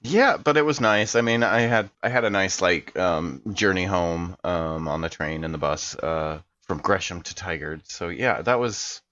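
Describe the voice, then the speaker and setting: Guy w lowish voice chatting casually, kinda dull lofi mic. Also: normal speed, hardly any pauses, a bit bright. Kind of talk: casual chat.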